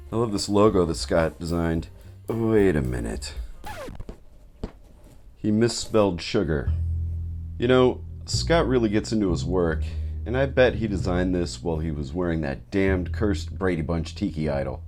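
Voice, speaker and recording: Animated, playful man hosting a vlog. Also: deep voice, a little slow, long pauses, soft background music. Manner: theatrical, playful, self-interrupting. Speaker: host of an art channel. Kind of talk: scripted vlog narration with casual asides.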